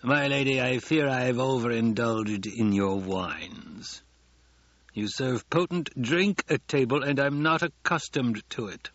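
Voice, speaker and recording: A man's voice: medium pitch, lively intonation, moderate pace, a bit bright, dry recording, lo-fi sound. A man voicing a falsely deferential banker with veiled venom and ingratiating formality in an audiobook. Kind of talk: audiobook character acting.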